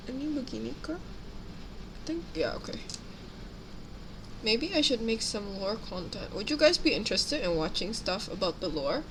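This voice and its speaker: A woman's voice: a little high, background noise. A young woman from Indonesia, chatting casually and switching between two languages on a livestream while she paints miniatures. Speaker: streamer.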